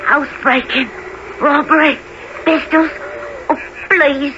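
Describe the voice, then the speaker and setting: A man's voice: extremely high pitch, fairly even intonation, slow pace. A male actor playing a frightened, innocent little orphan boy, pleading, in a radio play. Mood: terrified pleading. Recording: dull, noisy old radio recording.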